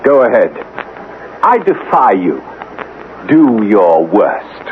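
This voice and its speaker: A blustering army major, swinging pitch. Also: male voice, sing-song, slow pace. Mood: scoffing, defiant. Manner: blustering, dismissive. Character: cocksure, scoffing British army major in colonial India. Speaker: actor in a radio drama. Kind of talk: old-time radio mystery acting. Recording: tinny, noisy old radio broadcast.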